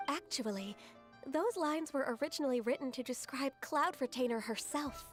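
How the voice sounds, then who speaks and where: Soft-spoken polite young woman played by an actress, high light voice, faint music behind. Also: normal speed, lightly acted. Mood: calm. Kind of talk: video game voice acting.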